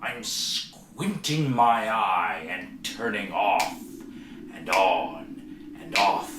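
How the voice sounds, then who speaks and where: A man's voice: deep voice, lively intonation, drawn-out words. Theatrical man, swooping delivery, echoey room. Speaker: performer. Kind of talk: dramatic reading of song lyrics. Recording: echoey room with background noise.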